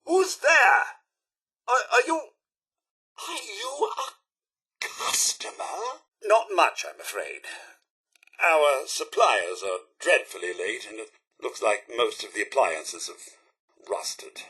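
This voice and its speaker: A man's voice: a little high, sing-song, slow pace, pauses often, bright, forceful tone, husky, exaggerated voice. A man voicing a fussy, devoted robot butler in a video game, with a British accent and a formal, theatrical, effusively polite delivery. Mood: courteous, attentive. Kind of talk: video game character voice acting.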